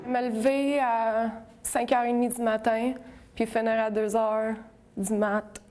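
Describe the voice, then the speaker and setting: A woman's voice: a little high, flat delivery, a little slow, darkish tone. A young woman in her twenties with a Québécois accent, speaking frankly in an interview. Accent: Québécois French. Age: 20s. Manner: frank, plain-spoken. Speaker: former school dropout. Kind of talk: interview testimony.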